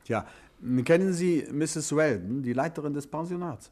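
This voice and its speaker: An actor playing a suave, courteous amateur detective, calmly probing, in a 1950s German radio drama. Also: male voice, on the high side, close to an everyday voice. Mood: puzzled, probing. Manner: polite, measured questioning.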